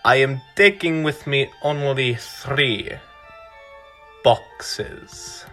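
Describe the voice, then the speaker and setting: A man acts a courtly, sinister vampire lord for a radio play. His delivery is slow and deliberate, with formal, theatrical phrasing. The tone is bright and forceful. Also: mid-range voice, faint music behind. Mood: courtly, ominous. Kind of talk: radio drama acting.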